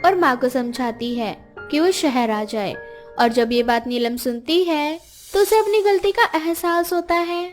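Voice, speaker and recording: High-pitched woman storyteller. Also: expressive, measured pace, soft background music. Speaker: storyteller narrator. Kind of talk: scripted story narration for a Hindi moral tale.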